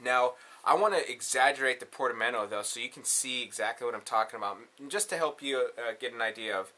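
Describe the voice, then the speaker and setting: Male tutorial presenter, casual and instructional, bright forward voice, mid pitch, walks through steps and checks in often. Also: quick pace. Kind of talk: casual step-by-step synth tutorial.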